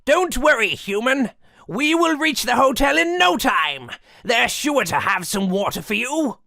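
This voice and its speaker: Boastful cartoon skeleton: piercingly bright, gravelly creak, grandiose yet kindly and earnest. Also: male voice, very high voice, big pitch swings, unhurried, no echo, studio quality, full character voice. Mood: reassuring. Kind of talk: cartoonish fan comic dub acting.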